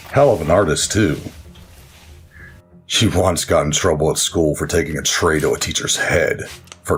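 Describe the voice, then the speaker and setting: An actor playing a somber, guilt-ridden older brother and military veteran in a gritty crime audio drama. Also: male voice, extremely low pitch. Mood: fond, mournful.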